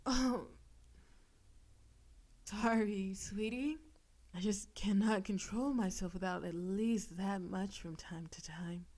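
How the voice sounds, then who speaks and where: Slow, possessive demon girlfriend, voiced by a woman. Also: medium pitch, fairly even intonation. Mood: teasing, doting. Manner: slow, teasing, softly commanding, lapsing into breathy whispers. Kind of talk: intimate audio roleplay addressed to the listener.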